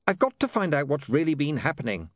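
Guy doing a bitter young posh-born man for an audiobook, earnest and clipped, highish voice, dry recording. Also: fast, dry recording. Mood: agitated, bitter. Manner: flat, clipped, emphatic.

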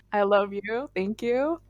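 A woman's voice: medium pitch, takes it slowly, no echo. A woman of twenty chatting casually and self-deprecatingly as a podcast host on a small budget microphone. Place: at home on a cheap mini mic. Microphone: small wired budget microphone.

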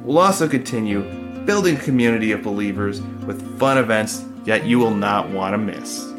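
A man with a low voice reads a church promo in a smooth, scripted way. Plucked guitar music plays clearly underneath him. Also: normal speed. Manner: smooth read promo delivery. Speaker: announcer.

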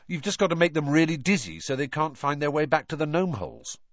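A man's voice: a little high, very animated, fast talker, no echo, muffled recording, voice pushed up. A man voicing a cheerful teenage boy who explains things breezily. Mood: breezy.

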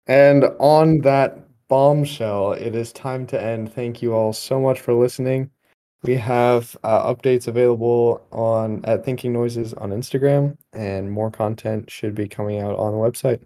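Mellow male voice, age twenty, podcast host, casual conversation, rambling and wry. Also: medium pitch, fairly even intonation, measured pace. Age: 20, young adult.

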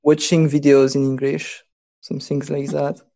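Slow-talking man with a French accent. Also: mid-range voice, little pitch movement, mellow. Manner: unhurried, laughs often, halting with fillers. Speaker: podcast guest. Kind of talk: casual podcast chat.

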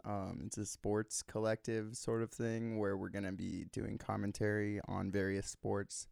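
A male musician jokes in a deadpan manner during a casual interview. His voice is low and gravelly. It is recorded close and dry. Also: measured pace, no echo. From Nebraska, US. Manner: deadpan, joking. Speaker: guest musician.

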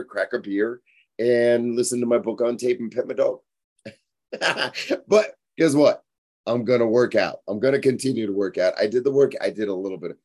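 Hoarse, tired man in his forties. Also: mid-range voice, dark tone. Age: 40s. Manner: rambling, frank, repeats himself. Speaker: fitness podcast host. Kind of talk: casual motivational monologue.

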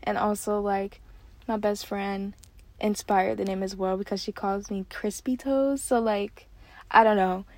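A woman's voice: medium pitch, measured pace, no room sound. Chatty nineteen-year-old woman, dry sound. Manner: chatty, filler-heavy, excitable. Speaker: podcast host. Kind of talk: casual solo podcast chat. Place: parked car.

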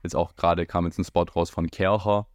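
A man's voice: low-pitched, level tone, fairly quick, a little mellow, dry recording. A young man, a music video director, talking casually as an interview guest, slangy and loose, with many fillers. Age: young adult.

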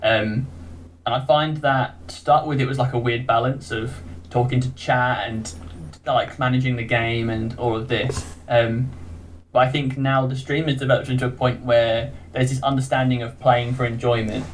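Man, English accent, casual chat, self-deprecating, laughs often, mid-pitched, faint background hum. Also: fairly even intonation, moderate pace, low-quality recording. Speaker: podcast guest, game streamer.

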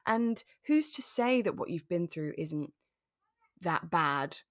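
A woman's voice: on the low side, expressive, slow. A woman co-hosting a podcast, chatting casually with an earnest, enthusiastic manner. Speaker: podcast co-host. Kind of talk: casual chat about a radio drama.

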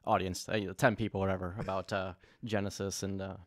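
Deep-voiced man, dry close sound. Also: little pitch movement, a little fast, no echo. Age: late 30s. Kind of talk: casual chat.

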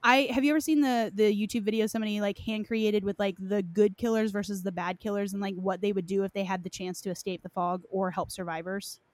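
Female, mid-pitched, informal podcast-style chat, very dry recording. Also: steady tone, fast, hardly any pauses, no room sound. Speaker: podcast co-discussant on game lore. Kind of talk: casual chat.

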